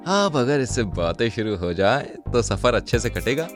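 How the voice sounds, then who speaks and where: A man playing an excitable, easily frightened young train passenger in an animated horror story, speaking expressively. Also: mid-range voice, moderate pace, natural voice. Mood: cheerful. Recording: loud music bed under voice.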